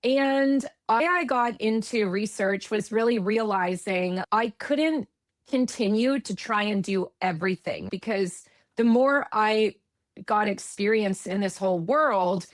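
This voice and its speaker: A woman's voice: medium pitch, measured pace, no echo. A woman from eastern Canada with a Canadian accent, a guest talking in a chatty, reflective way and correcting herself as she goes. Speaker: guest, UX expert. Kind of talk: conversational interview.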